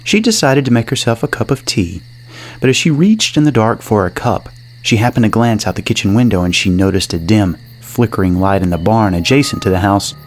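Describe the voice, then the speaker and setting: A man's voice: lowish voice, animated, a little fast, slight background noise. A man reading scripted narration for a horror story. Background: music under the narration.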